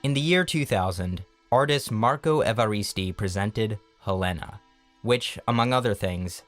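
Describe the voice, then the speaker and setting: A man reads a scripted essay in a fairly low, slightly nasal voice. His cadence is measured, like someone orating, and faint music plays underneath. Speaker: video essayist, narrator. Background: background music.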